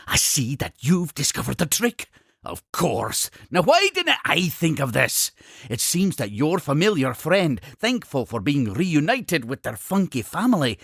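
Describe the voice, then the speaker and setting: Wildly animated Scottish cartoon inventor. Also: male voice, on the high side, creaky, husky, no room sound, studio quality, exaggerated voice. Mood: delighted. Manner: grandiose, effusive, old-fashioned phrasing. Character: exuberant, eccentric Scottish explorer-inventor creature, proud and cunning. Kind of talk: theatrical cartoon game character dialogue.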